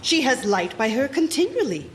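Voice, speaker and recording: A woman playing a stage actress who declaims formally as an earnest, watchful Shakespearean gentlewoman in an audio drama. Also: high-pitched, sing-song, normal speed, a little hiss or hum.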